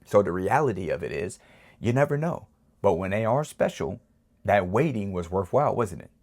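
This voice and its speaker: A man's voice: lowish voice, big pitch swings, normal speed, a little mellow. A man hosting a podcast who delivers a preachy motivational monologue, repeating phrases and asking rhetorical questions. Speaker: podcast host.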